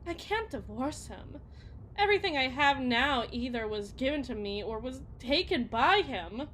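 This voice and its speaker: A woman playing an anxious, lovesick suburban wife and mother in an ASMR roleplay, speaking in a halting, emotional way. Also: sits high, animated, a bit bright, slight background noise, poor audio. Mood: anxious, desperate. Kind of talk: ASMR roleplay, dramatic monologue.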